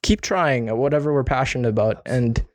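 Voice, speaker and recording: A man's voice: mid-range voice, fairly quick, no echo. A man hosting a podcast, speaking in an earnest, encouraging, motivational way in casual conversation. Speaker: podcast host.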